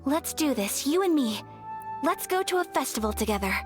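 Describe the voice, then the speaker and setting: A woman voicing a sweet, gentle young noblewoman in a video game, soft-spoken, formal and courteous. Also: high-pitched, expressive, fairly quick, music in the background. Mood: warm.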